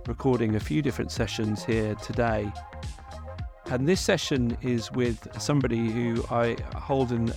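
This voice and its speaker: A man's voice: mid-range voice, gravelly. A man hosting an interview, introducing his guest in a hesitant, appreciative way. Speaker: interview host. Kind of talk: conversational interview.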